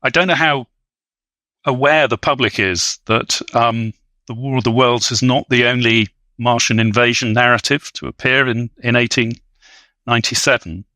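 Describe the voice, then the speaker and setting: A man's voice: medium pitch, fairly even intonation, moderate pace, sharp tone, no echo. A man speaking as an expert podcast guest in an academic discussion, with hesitant, scholarly, wordy phrasing.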